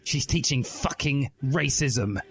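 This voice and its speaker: A man's voice: mid-range voice, takes it slowly, vocal fry, slight background noise, voice pushed up. A man voicing a self-important, indignant teenage boy revolutionary in a comic story reading. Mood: urgent, indignant. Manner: indignant, bombastic. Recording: music behind the voice.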